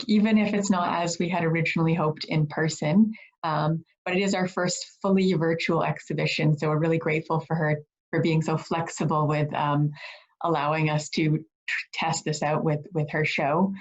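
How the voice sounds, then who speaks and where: A woman's voice: deep voice, fairly even intonation, normal speed, dull audio. A woman, a gallery director, hosting a virtual reception over a video call and reading an introduction with frequent fillers.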